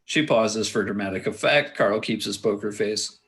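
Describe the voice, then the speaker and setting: A man narrating stage directions at a table read, in a halting, matter-of-fact way. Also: fairly low pitch, a bit bright. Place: remote video call.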